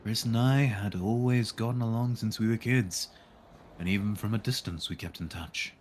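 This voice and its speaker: Low-pitched man reading a game aloud, noisy background. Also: moderate pace, a little mellow. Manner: dry, irreverent asides. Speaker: streamer reading a visual novel aloud. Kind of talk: live read-aloud of a game with casual joking asides.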